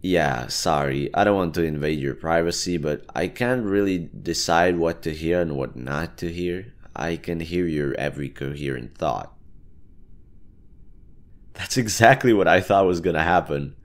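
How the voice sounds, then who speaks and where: A man's voice: low voice, a little slow. A man voicing a smug, snarky talking amoeba parasite in an audio roleplay, chatting casually and teasingly to the listener. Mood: apologetic.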